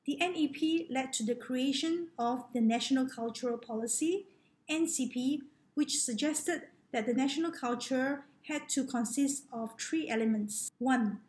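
A middle-aged woman from Malaysia, a researcher who reads her thesis presentation to a webcam in a measured, formal way. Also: high voice, mellow. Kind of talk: scripted academic presentation. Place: indoor room, on webcam. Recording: echoey room on a laptop mic.

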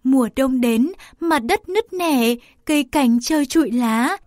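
A woman narrating a scripted children's story in a careful, clearly phrased read-aloud manner. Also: high-pitched, animated, unhurried, darkish tone, a little hiss or hum.

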